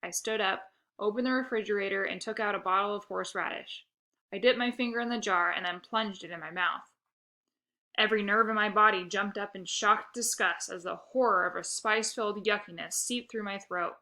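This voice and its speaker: A woman narrating a children's book aloud with expressive, first-person storytelling. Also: medium pitch, brisk.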